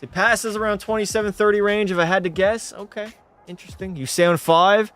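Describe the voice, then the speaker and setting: A man's voice: high-pitched, steady tone, fairly quick, a bit bright, soft background music. A young man from Canada, casually chatting and swearing while live-streaming a hockey game and answering chat. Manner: loose, profane banter, reading chat aloud. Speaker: gaming streamer.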